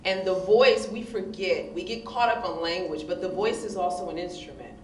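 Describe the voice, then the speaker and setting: A woman with a big voice answering on a discussion panel, expressive and emphatic, often laughing. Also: on the low side, normal speed. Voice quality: big, loud voice. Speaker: panelist, hip hop poet. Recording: distant mic in echoey room with noise.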